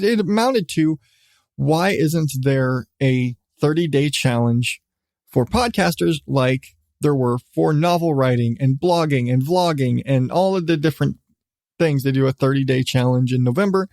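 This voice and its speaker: Middle aged guy rambling on his podcast, kinda high voice, really dry close sound. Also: vocal fry, darkish tone, dry recording. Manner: rambling, halting, repeats and restarts phrases. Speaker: podcast host. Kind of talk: casual solo podcast talk.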